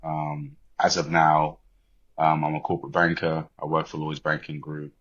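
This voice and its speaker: Extremely deep, husky British man, muffled audio. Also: monotone, normal speed, fairly bright tone. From born in Liberia, grew up in the UK. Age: 20s. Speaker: podcast guest, corporate banker. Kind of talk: reflective interview talk.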